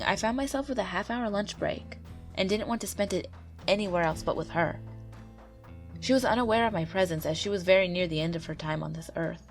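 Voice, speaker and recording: A woman with a stuffy nose from a cold, gently and earnestly reading true ghost stories aloud as the narrator of her channel. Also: medium pitch. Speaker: YouTube ghost story narrator. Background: faint background music.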